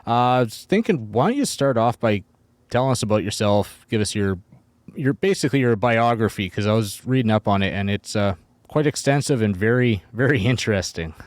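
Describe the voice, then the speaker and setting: A man hosting a podcast, asking a guest relaxed, friendly questions in a casual interview. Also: on the low side, a little fast, no echo. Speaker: podcast host.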